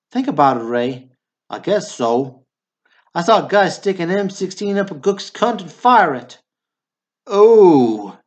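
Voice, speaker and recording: A man playing a blunt, bickering small-town Southern brother in a radio drama. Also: fairly high pitch. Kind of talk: stage comedy dialogue, radio drama acting.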